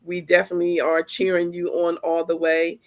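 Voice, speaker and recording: A woman hosting a podcast in a chatty, animated way, a little congested from the flu. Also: fairly low pitch, fairly quick. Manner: chatty, animated storytelling with laughs. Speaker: podcast host. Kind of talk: casual podcast chat.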